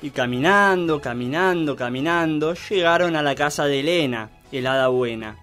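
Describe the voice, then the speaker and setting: A man's voice: on the high side, moderate pace, fairly bright tone, faint music behind. A man narrating a scripted mock children's tale as a storyteller.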